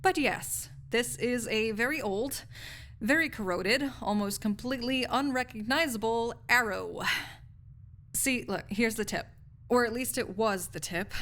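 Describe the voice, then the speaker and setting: A snarky, nerdy female research assistant, played by a woman in an intimate audio roleplay. She has a medium-pitched voice and explains things articulately, with a cynical edge and casual, wry asides. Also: a bit bright, natural voice.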